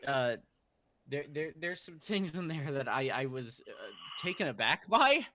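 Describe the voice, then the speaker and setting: A man's voice: fairly high pitch, very animated, a little slow, sharp tone, dry recording. A tired man in a casual livestream chat, stammering and repeating words as he speaks.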